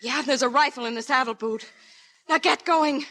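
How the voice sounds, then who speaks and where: A woman's voice: high-pitched, moderate pace, pushed and bright, full character voice. An actress playing a troubled, tender young frontier woman in old radio melodrama, with an American accent and an emotional manner. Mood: anxious pleading. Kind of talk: 1930s-40s radio melodrama acting.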